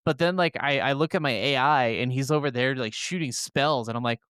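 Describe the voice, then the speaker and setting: A man's voice: medium pitch, brisk, a bit bright, smooth, no echo, studio quality. A man co-hosting a gaming podcast in casual, opinionated chat, rambling enthusiastically through explanations and swearing freely.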